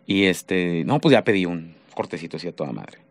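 A man's voice: sits low, lively intonation, fairly quick, no room sound. A man, telling crude, joking stories as a radio podcast co-host. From northern Mexico. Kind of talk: casual comedic chat. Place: radio studio. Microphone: headset with studio mic.

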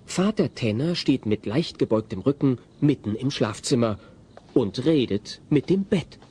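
A man's voice: mid-range voice, animated, unhurried, dark tone, husky. A man narrating a scripted audio play as its storyteller.

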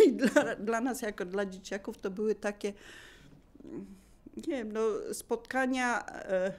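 A woman casually sharing memories of family life, in the relaxed style of oral history. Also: medium pitch, takes it slowly. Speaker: local resident sharing memories.

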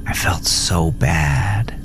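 A man narrating a first-person horror story for a podcast, with dramatic pauses and whispery emphasis. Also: low voice, over music.

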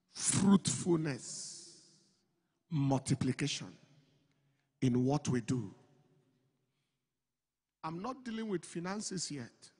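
Man, pastor preaching, high voice, slow deliberate pace, handheld mic, big reverberant hall. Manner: builds from slow, measured delivery to loud, emphatic preaching. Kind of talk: sermon. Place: large church auditorium stage with a crowd. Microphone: handheld microphone. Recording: long hall reverb, slightly breathy.

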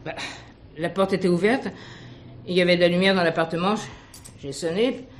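A woman's voice: lowish voice, steady tone, a little fast, natural voice. A woman playing a flustered, chatty elderly widowed concierge in a detective radio play, rambling, hesitant and deferential. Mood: rambling, shaken.

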